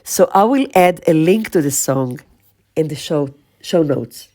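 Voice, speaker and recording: Slow, husky-toned woman podcast host. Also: sits low, expressive, warm tone. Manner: slow, measured, lingering on lists. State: voice strained, losing her voice. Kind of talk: reflective spoken podcast monologue.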